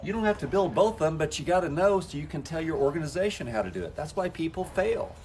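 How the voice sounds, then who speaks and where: A man's voice: on the high side, lively intonation, quick pace, darkish tone, a little hiss or hum. A man coaching network marketers in a folksy, talk-to-camera style, acting out the conversations he has with people. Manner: folksy, acts out quoted conversations.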